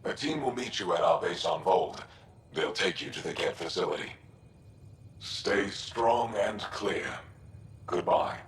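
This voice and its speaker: An actor playing a proud, distrustful male alien resistance commander in a video game, curt and commanding with a deep voice. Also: expressive, a little slow, reverberant, a little hiss or hum. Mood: grudging. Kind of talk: video game cutscene acting.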